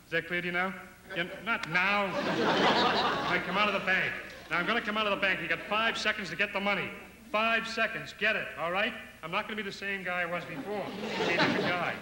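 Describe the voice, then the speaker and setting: A man's voice: sits high, quick pace, natural voice. A man playing a bossy, exasperated schemer in a stage comedy sketch, explaining things insistently and repeating himself. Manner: insistent, repetitive. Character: bossy, exasperated bank-robbery instructor with psychobabble. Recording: echoey noisy old TV theatre recording.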